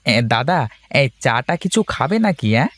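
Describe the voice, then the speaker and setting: A man's voice: medium pitch, sing-song, normal speed, fairly bright tone. A man voicing a humble, sympathetic tea seller in a cartoon, with a hesitant, deferential way of talking. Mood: attentive, deferential. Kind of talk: Bengali cartoon voice acting.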